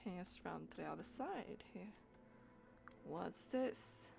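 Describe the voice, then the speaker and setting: A woman's voice: lowish voice, lively intonation, measured pace, creaky, darkish tone. A woman streaming a game, murmuring her thoughts in loose asides as she plays. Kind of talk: live gameplay, reading dialogue aloud.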